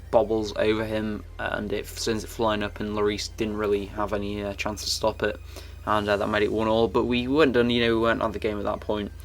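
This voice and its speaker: A young man giving casual, rambling commentary over his football game footage, his voice croaky and full of fillers and restarts. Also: fairly low pitch, a little hiss or hum. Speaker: gaming YouTuber.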